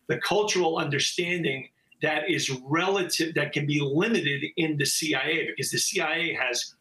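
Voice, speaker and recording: A man, a former intelligence officer, giving measured analysis with some hesitations in a live news interview. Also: a little high, sharp tone, roomy sound, rough recording.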